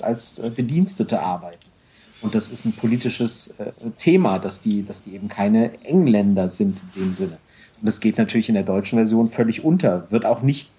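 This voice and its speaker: A man's voice: fairly low pitch, mellow, breathy edge. A man co-hosting a casual podcast chat, talking at length with many restarts and hesitations. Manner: rambling, frequent restarts and hesitations. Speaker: podcast co-host.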